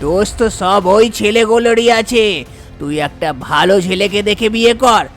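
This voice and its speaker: A woman's voice: mid-range voice, normal speed, faint music behind, close to an everyday voice. A woman voicing an indulgent, boastful middle-aged father in a cartoon tale. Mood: doting, defensive. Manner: indulgent, self-assured. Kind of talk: cartoon folk tale character voice.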